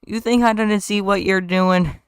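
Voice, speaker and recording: A man's voice: sits high, steady tone, clean tone, no echo, clean studio sound, voice pushed up. A man playing a jealous, irate boyfriend with a strained, sarcastic, stammering delivery. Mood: suspicious, angry. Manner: stammering, seething, drawn-out sarcasm.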